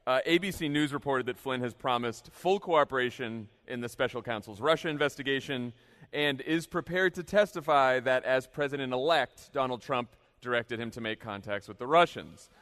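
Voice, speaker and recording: An American man hosting a casual political panel, speaking in a wry, animated way. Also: mid-range voice, moderate pace. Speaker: podcast host moderating a panel. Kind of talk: casual political panel talk before an audience.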